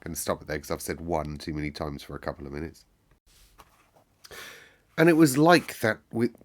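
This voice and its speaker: A man with a fairly low voice reads a classic children's story aloud. He tells it expressively, as a storyteller would for young listeners. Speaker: reader of a children's novel.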